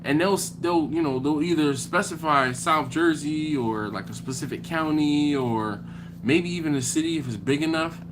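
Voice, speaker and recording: A man's voice: fairly high pitch, moderate pace, background noise. Philly man chatting on a livestream. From Philadelphia. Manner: animated, acts out back-and-forth dialogues. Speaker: host of a barbecue livestream. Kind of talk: casual livestream chat reading comments.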